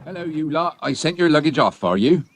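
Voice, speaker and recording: An actor playing a chatty, folksy railway porter with a Cornish accent in an audiobook drama. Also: male voice, fairly high pitch, lively intonation, fairly quick, slight background noise. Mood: friendly, warning. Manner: colloquial, folksy, emphatic.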